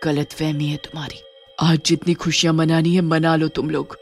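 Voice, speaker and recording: A woman playing a vengeful, grieving widowed witch in a horror story, speaking in dramatic, drawn-out vows. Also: sits low, flat delivery, a little mellow. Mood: menacing. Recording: music under the voice.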